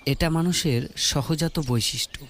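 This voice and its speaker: A man voicing a calm, wise ascetic monk who gives gentle, fatherly counsel in a slow, measured way. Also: a little high, noisy background. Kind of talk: audio story dialogue.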